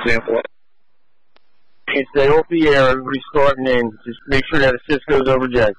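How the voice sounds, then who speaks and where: A man's voice: medium pitch, steady tone, a little fast, a bit bright, no echo, low-quality recording. A man, a police officer, giving urgent clipped reports over the radio.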